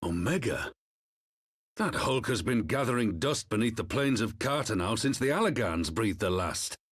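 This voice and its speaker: An actor playing a sceptical, grave scholar in a video game, formal and dismissive, with a husky voice. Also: male voice, on the low side, lively intonation, moderate pace. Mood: incredulous. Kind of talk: video game cutscene acting.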